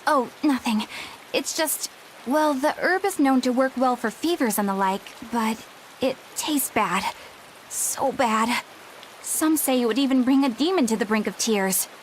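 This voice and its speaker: A woman's voice: a little high, measured pace, a little hiss or hum, lightly acted. A woman playing an earnest, proper young crewmate in a video game, polite and inquisitive. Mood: uneasy.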